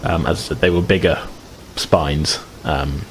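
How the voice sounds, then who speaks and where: A man running a tabletop game as game master, narrating and calling for dice checks in a relaxed, hesitant way with many fillers. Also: very deep voice, a little slow, creaky, a little hiss or hum.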